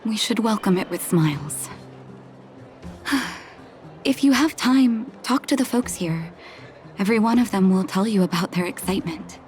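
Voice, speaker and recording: A woman playing a kindly, reflective doctor in a video game, speaking in a gentle, thoughtful way. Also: mid-range voice, expressive, soft background music, lightly acted. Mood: gentle, hopeful. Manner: gentle, thoughtful, measured, soft laughs and sighs.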